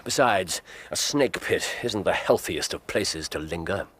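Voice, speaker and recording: An actor playing a brisk, erudite, self-assured English master detective in a radio drama, incisive and teasingly dry. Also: male voice, deep voice, lively intonation, a bit bright, breathy edge, heavily acted. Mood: grave. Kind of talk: British radio drama acting. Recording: ambient noise behind.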